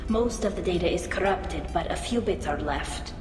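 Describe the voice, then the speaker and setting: A woman's voice: lowish voice, animated, normal speed. A woman voicing an earnest young female alien engineer in a video game, through a helmet speaker filter. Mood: thoughtful. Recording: echoing game audio under loud music.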